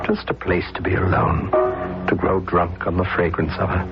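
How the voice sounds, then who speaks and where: Deep, gravelly, lovesick suitor. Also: male voice, completely flat delivery. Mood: wistful narration. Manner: earnest, halting. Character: lovesick, hesitant, sober-sided Philadelphia man devoted to his sweetheart. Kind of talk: radio drama acting. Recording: muffled radio drama recording, music behind.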